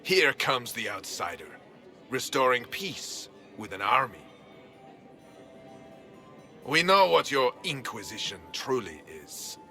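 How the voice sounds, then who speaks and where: A man's voice: medium pitch, very animated, slow, pauses often, bright, forceful tone, faint music behind, heavily acted. A man playing a pompous, contemptuous aristocratic marquis in a fantasy game, sneering as he talks. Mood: sneering contempt.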